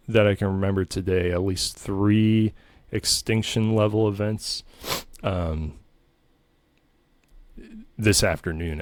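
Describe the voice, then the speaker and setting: A pompous, self-satisfied man holds forth in a very deep voice, rambling and restarting as he brags. The recording is close and dry, with no room sound. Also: dry recording. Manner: smug, pompous and self-aggrandizing; meandering, full of ums and restarts. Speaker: comedy channel host. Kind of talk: rambling comedic monologue.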